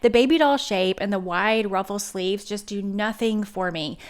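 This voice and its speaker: Brief: woman, voice-over, measured and matter-of-fact, mid pitch. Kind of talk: voice-over style commentary.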